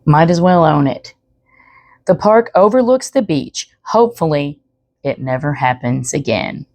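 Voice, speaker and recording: Low-pitched woman reading stories aloud. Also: expressive, unhurried, a little mellow, dry recording. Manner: chatty asides to the listener. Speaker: storyteller. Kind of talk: expressive read-aloud narration.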